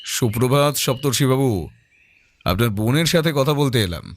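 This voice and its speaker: A man playing a polite, persistent private detective in an audio drama, questioning directly and calmly. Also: mid-range voice, moderate pace, no echo, natural voice.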